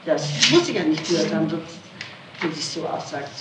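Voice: medium pitch, sing-song, a little fast. Interrogation witness, explanatory and self-correcting, on echoey, noisy archival tape. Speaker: person being questioned by police. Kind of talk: interrogation testimony, recounting events.

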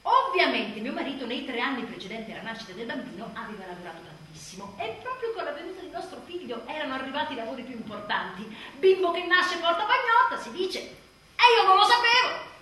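Casting: frazzled young mother, radio drama monologue, sharp forceful voice, confessional and sardonic, very reverberant distant recording. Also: high voice, big pitch swings, fast talker, heavily acted. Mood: sardonic, exasperated. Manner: dramatic, swinging between intimate confession and sardonic outbursts. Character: exhausted, anxious young mother confessing guilt and frustration. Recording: very echoey stage, distant mic, faint noise.

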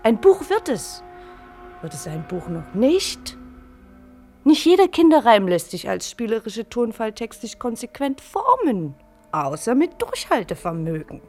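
An actress playing a restless, guarded night-shift worker with curt, evasive delivery in a German radio drama. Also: female voice, a little high, wildly swooping pitch, unhurried, darkish tone, exaggerated voice. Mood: brooding. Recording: music behind the voice.

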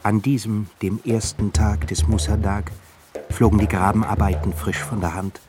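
Male narrator, literary radio play, formal measured reading, low-ish voice, music behind. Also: flat delivery.

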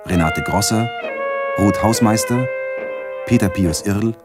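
Announcer, male: deep, unhurried, formal credit read over prominent music bed. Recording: loud music behind.

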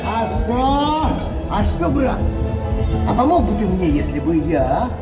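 An actor playing a jovial, scheming card sharp in a theatrical audio play, expansive and hearty with drawn-out exclamations. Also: male voice, high-pitched, very animated, exaggerated voice. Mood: teasing, rowdy. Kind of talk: theatrical radio play acting. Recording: roomy stage sound with music underneath.

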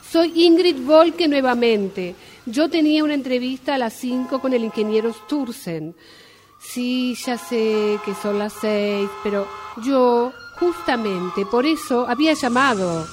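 A woman's voice: fairly high pitch, darkish tone, close to an everyday voice. A woman acting a polite, flustered job applicant, hesitant and apologetic on the phone, in a radio drama. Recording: amateur workshop recording, sirens and traffic noise.

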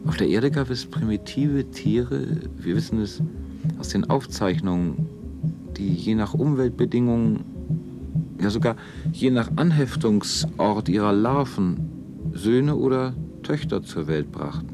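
An actor playing a detached scientist observing a colony, lecturing in a clinical way in a radio play. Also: male voice, lowish voice, lively intonation, a little slow, music in the background. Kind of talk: 1970s German radio play acting.

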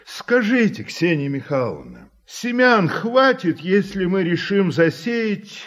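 An actor playing an older, proud state-farm director in a radio drama, wry and authoritative in short clipped phrases. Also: male voice, high-pitched, huge pitch swings, takes it slowly, fairly bright tone, heavily acted. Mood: brisk, commanding. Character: ageing, proud Soviet state-farm director, weary but commanding. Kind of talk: Soviet radio drama acting. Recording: dull, muffled old radio recording.

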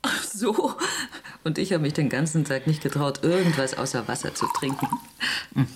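A woman's voice: low voice, moderate pace, a little hiss or hum, lightly acted. An actress in a radio drama playing a spirited, sceptical woman tourist who talks in a candid, impulsive, colloquial way. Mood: confiding.

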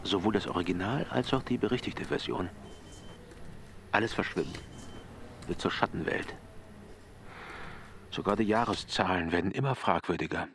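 An actor playing a brooding office worker in a dystopian radio drama, musing haltingly in a hushed voice. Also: male voice, deep voice, creaky. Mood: brooding, bitter. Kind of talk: radio drama inner monologue. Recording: audible background noise and ambience.

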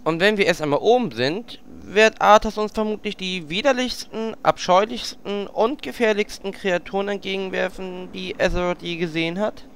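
A man's voice: high voice, normal speed, gravelly, few pauses, fairly bright tone, slight background noise. A man playing a cocky, flirtatious and sarcastic adventurer in a fantasy parody audio drama.

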